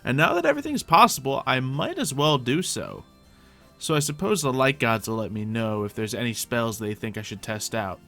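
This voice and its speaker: A man with a medium-pitched voice hosts an improvised video game roleplay. He chats casually to himself, thinking aloud as he plays. Soft music runs behind his voice. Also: expressive, normal speed, creaky. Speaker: gaming video host playing in character.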